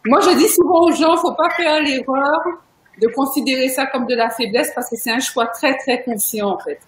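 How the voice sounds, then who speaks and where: Woman host, high voice, chatty, emphatic, echoey room. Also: moderate pace, a little hiss or hum. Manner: chatty, emphatic, laughs often. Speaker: interview host. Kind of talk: casual video interview chat.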